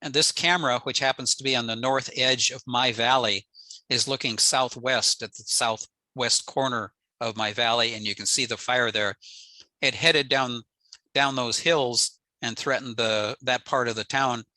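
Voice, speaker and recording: A man's voice: medium pitch, fairly even intonation, normal speed, bright, forceful tone, dry recording, rough recording. An older American man giving an informal slide presentation in a matter-of-fact, explanatory way. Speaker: presenter, amateur radio operator. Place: indoors on a video call.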